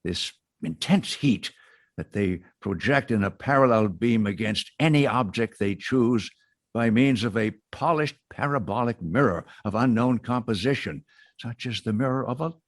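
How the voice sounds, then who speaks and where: An actor playing a learned professor in a radio drama, speaking in a measured, formal, lecturing way. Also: male voice, medium pitch, animated. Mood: grave. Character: learned older male astronomy professor.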